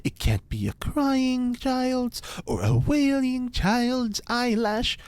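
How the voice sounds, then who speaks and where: A man's voice: very high-pitched, sing-song, clear, resonant tone, dry recording, full character voice. Man playing a theatrical eccentric occult scientist, swooping delivery. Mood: grave, instructive. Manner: theatrical, formal, precise, with dramatic pauses. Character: eccentric pompous occult scientist and ex-cult leader, male. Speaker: fictional scientist being interviewed. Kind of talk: science fiction audio drama acting.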